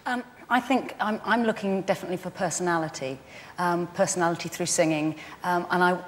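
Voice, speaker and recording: A woman's voice: lowish voice, steady tone, a little fast, slight background noise. A woman serving as a competition judge, speaking hesitantly with frequent ums. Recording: reverberant TV studio.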